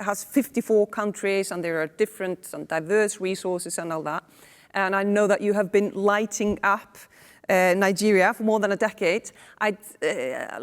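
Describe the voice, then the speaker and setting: A woman in her forties from Iceland, moderating a panel with relaxed conversational asides and fillers, on a lapel mic in a studio. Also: medium pitch, big-room sound. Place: indoor studio set.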